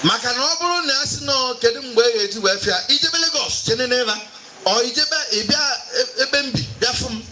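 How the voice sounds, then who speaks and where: A man's voice: very high voice, lively intonation, quick pace, music in the background. A man with a Nigerian Igbo accent hosting a live stage event as master of ceremonies, switching between Igbo and English in showy announcer phrasing. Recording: noisy live event over a microphone.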